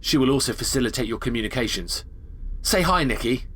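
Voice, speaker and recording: An actor playing a brisk special agent who instructs a recruit in a dry, understated way, in a sci-fi game-style audio drama. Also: male voice, mid-range voice, expressive, fairly bright tone, husky. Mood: dry, explanatory. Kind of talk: scripted audio drama parodying video game tutorials.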